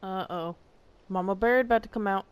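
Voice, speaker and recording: A woman doing casual live gameplay commentary, reacting in an expressive, playful way. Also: medium pitch, fairly quick, clear, resonant tone. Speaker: streamer playing and reacting to a game.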